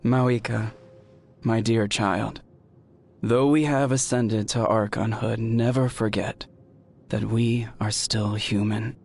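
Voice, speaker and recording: Solemn, slow-speaking ancestral spirit. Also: male voice, fairly low pitch, steady tone, creaky, a little mellow. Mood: solemn, tender. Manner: measured, solemn, tender. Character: solemn, tender fatherly ancestral spirit, a former leader. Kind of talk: video game voice acting.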